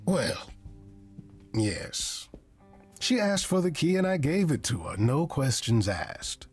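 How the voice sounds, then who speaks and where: Husky, sly, charming god. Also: male voice, fairly high pitch, sing-song, takes it slowly, faint music behind, full character voice. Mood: defensive. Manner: sly, theatrical, hedging, flowery wording. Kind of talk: video game dialogue acting.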